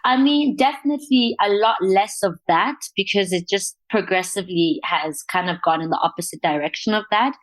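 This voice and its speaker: A young woman from Lesotho speaks as a podcast guest, with a faint accent that blends Southern African and British sounds. She tells her story candidly and with animation, and she laughs easily as she talks. Her voice sits in a middle pitch. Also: moderate pace, hardly any pauses, no echo. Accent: faint mixed Southern African and British accent.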